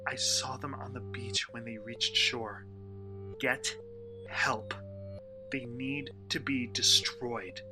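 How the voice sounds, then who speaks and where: A man acts out a desperate fugitive in a horror radio play, speaking slowly with urgent, faltering dread. His voice sits in a middling register, and prominent music runs behind him. He is profane and frightened, as if hunted. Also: mid-range voice, fairly even intonation. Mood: desperate pleading. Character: terrified, desperate man hunted by monsters, in hiding. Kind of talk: horror audio drama acting.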